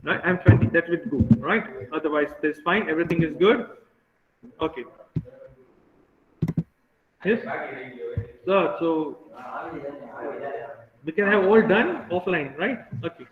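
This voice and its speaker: A man's voice: a little high, unhurried, pauses often, noisy background. A man with an Indian accent giving classroom instruction as an English teacher, often checking with a short question. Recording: tinny phone-like audio, echoey room.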